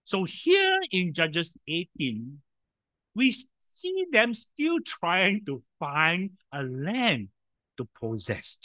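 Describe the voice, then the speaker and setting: A man preaching a sermon in a loud voice, emphatic, with sudden swings in volume and frequent rhetorical questions. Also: high voice, wildly swooping pitch, extremely slow, no echo.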